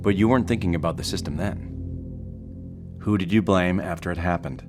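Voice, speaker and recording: An actor playing a calm, patient male therapist in an audio drama, speaking in a measured, clinical and reassuring way. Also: low-pitched, music in the background, lightly acted. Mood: calm, probing. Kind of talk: naturalistic audio drama acting.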